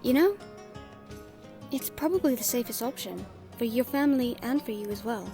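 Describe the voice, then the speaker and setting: A woman playing a friendly female merchant in a fantasy audio drama, gently teasing, caring and encouraging as she talks to the listener. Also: fairly high pitch, animated, normal speed, over music. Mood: caring, earnest.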